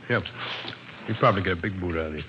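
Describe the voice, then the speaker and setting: Actor playing fast-talking sleazy street peddler, old radio. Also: male voice, deep voice, lightly acted. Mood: chummy, dealing. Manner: slangy, wheedling salesman patter. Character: sleazy, chummy male peddler of illicit picture books. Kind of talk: 1950s radio drama acting. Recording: dull, very noisy old radio broadcast.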